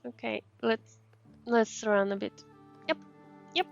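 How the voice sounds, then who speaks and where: A woman's voice: a little high, slow, gravelly. A woman streamer giving casual game commentary, thinking aloud and reading text from the screen. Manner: murmured acknowledgements, thinking aloud. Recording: muffled mic over faint game music.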